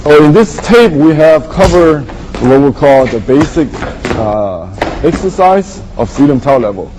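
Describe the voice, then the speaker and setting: A man's voice: medium pitch, mellow, poor audio. A man speaking as a martial arts instructor, explaining a training series to viewers. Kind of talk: instructional wrap-up to camera.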